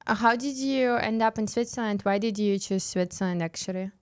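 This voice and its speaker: A woman hosts a podcast with a medium-pitched voice. She talks in a casual, conversational way, putting questions and remarks to her guest as in an everyday chat. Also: fairly quick, a little mellow. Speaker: podcast host.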